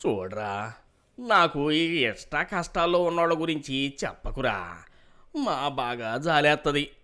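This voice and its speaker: A man's voice: on the high side, lively intonation, measured pace, pushed and bright. A man playing a scheming older village headman in a moral story, coaxing and patronizing in rustic speech. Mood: feigned pity. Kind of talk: moral story character dialogue.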